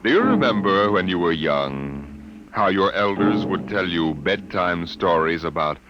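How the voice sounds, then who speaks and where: A man announcing a radio program, measured and storytelling. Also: sits low, animated. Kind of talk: scripted radio narration. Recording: muffled 1950 broadcast with loud music.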